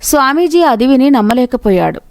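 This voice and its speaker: A woman narrates a moral tale from a script. Her voice sits a little high. The sound is dry, with very little room on it. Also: big pitch swings, moderate pace, a little mellow, dry recording. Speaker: storyteller narrator.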